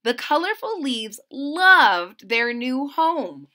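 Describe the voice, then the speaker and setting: Slow, high-pitched woman reading to children. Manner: expressive, asks the listeners questions. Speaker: storybook reader. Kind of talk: children's read-aloud with asides to listeners.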